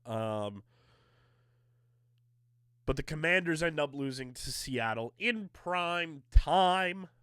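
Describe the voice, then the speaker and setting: A man's voice: on the high side, lively intonation, slow pace, long pauses, a bit bright, smooth, no echo, clean studio sound. A male podcast host from the area, sounding a little nasal from allergies, talking sports in an emphatic, hyped-up way.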